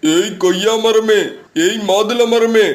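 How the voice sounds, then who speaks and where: A man's voice: sits high, fairly even intonation, takes it slowly. A man voicing a stern, protective talking tree in a children's cartoon, with a firm, admonishing manner. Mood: defiant.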